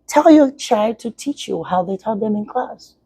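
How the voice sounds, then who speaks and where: A woman's voice: mid-range voice, lively intonation, normal speed, dark tone, breathy edge, no echo, rough recording. A middle-aged woman from Nigeria whose first language is Yoruba, speaking as an educator guest in an interview and giving earnest advice to parents. She repeats her points for emphasis.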